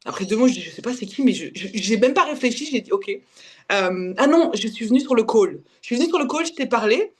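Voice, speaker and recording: A woman's voice: fairly high pitch, lively intonation, a little mellow, slightly rough. A woman in her twenties from Belgium, giving a casual personal account, often correcting herself and slipping in English words. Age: 20s. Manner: halting, self-correcting, slips in English words. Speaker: guest, women's spiritual development guide. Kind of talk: casual personal testimony in conversation.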